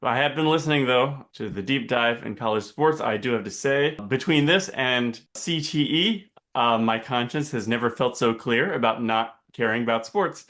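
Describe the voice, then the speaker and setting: A man chatting casually as a podcast panelist, speaking in a slightly halting, hesitant way. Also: medium pitch, a bit bright. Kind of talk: casual chat.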